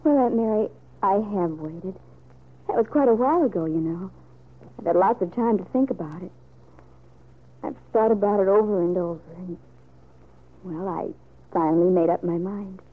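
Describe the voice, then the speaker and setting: A thin, phone-like vintage radio recording carries an actress as an earnest young woman in a soap opera. She speaks gently and hesitantly at a middle pitch, as if confiding something close to her heart. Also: moderate pace, long pauses, natural voice. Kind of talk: old-time radio soap opera acting.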